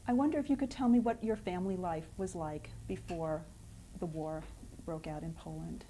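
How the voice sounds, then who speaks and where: A woman interviewer asking measured, formal interview questions. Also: fairly low pitch, dark tone, a little hiss or hum, low-quality recording.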